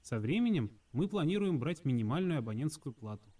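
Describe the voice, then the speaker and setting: A man's voice: a little high, sing-song, quick pace, mellow. A man reading scripted dialogue for a language lesson, heard as played-back audio. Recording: distant playback of lesson audio.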